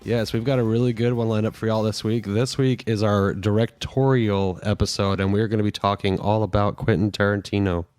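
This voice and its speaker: A man in his thirties hosting a casual podcast chat, still recovering from a head cold, chatty and quick to laugh. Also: lowish voice, fairly even intonation, darkish tone, smooth, no echo. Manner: chatty, laughs often, many fillers. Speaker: podcast host.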